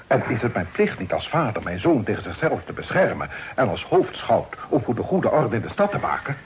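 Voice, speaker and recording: A man's voice: medium pitch, very animated, a little fast, natural voice. A man playing a stern, suspicious father and magistrate in a Dutch radio drama, speaking in a formal, reproachful way. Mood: stern, indignant. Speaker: radio play actor. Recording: faintly noisy old radio play recording.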